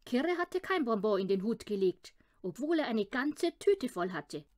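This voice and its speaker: A woman reading a children's audiobook in an expressive storytelling style. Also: a little high, unhurried. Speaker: audiobook reader.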